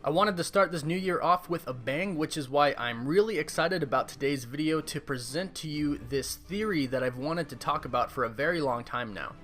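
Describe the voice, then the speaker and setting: Higher-voiced man explaining a fan theory. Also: brisk, few pauses, a bit bright. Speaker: video host presenting a fan theory. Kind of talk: scripted explainer narration. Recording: music behind the voice. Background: background music.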